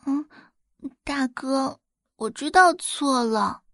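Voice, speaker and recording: A woman's voice: high-pitched, fairly bright tone, no echo, clean studio sound, pitched above the performer's own voice, heavily acted. A woman voicing a sweet, spoiled young girl who wheedles cutely in an audio drama. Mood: meek. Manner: cutesy wheedling with drawn-out words. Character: sweet, clingy, spoiled teenage younger sister, coquettish and pleading. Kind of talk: Chinese audio drama acting.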